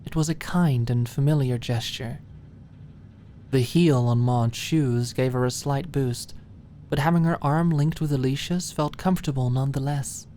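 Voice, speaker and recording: A woman's voice: a bass voice, normal speed, mellow, a little hiss or hum. A woman reading scripted story narration for an ASMR-style audiobook.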